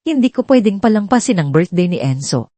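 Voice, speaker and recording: A woman voicing a gentle, principled young former housemaid, polite and earnest, in an audio story. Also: medium pitch, big pitch swings, a little fast, dry recording. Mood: warm.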